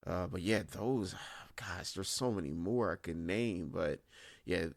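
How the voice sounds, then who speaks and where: A man chatting casually as an interview guest, halting as he thinks aloud. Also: sits low, creaky, no echo, studio quality. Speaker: podcast guest, audio drama creator.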